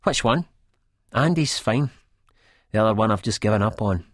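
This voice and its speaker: Audiobook role: worried, pleading woman played by a man, lifted pitch, husky, very dry sound. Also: lively intonation, normal speed, no room sound. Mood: earnest. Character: weary, concerned woman friend confiding in the narrator.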